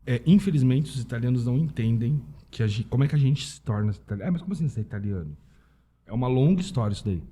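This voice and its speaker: A Brazilian man with a husky voice talking casually as a podcast guest, informal and anecdotal, often checking in with tag questions. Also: mid-range voice, animated, rapid-fire, warm tone. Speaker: podcast guest, Italian citizenship specialist. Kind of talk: casual conversational interview.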